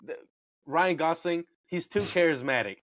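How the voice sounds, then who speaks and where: A man's voice: a little high, moderate pace, a bit bright, no echo. A man co-hosting a casual movie podcast, blunt and emphatic, hammering his opinions by repeating them. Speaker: podcast co-host. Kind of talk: casual movie-review banter.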